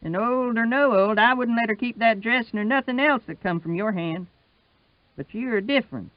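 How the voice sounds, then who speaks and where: High-pitched old Southern rustic. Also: male voice, no echo, dull audio. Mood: dogged, quiet protest. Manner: rustic dialect speech, patient and slow.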